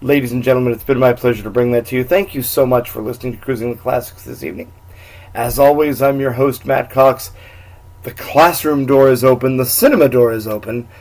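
A man's voice: mid-range voice, brisk, a little mellow. A man hosting and signing off a radio show. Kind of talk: hosting sign-off.

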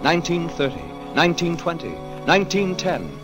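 A man's voice: a little high, expressive, fairly quick, over music, lightly acted. An American man narrating a 1940s radio drama as its host, in a dramatic, declamatory scripted style. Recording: old 1940s radio broadcast.

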